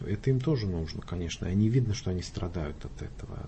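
A man's voice: deep voice, lively intonation, fast talker, warm tone, slight background noise. A man speaking earnestly about faith, explaining in a pious, careful way. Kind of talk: talk show conversation.

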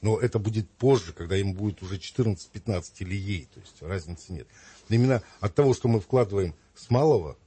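A middle-aged man speaking earnestly and reflectively as a guest in a talk, pausing with hesitations. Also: lowish voice, dark tone, breathy edge. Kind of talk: earnest conversational opinion.

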